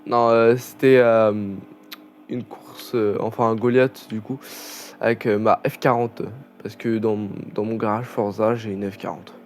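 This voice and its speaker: A man's voice: fairly low pitch, flat delivery, a little slow. A young man chatting casually over a racing game, rambling with many fillers and restarts. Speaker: gaming channel host. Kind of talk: casual gameplay commentary.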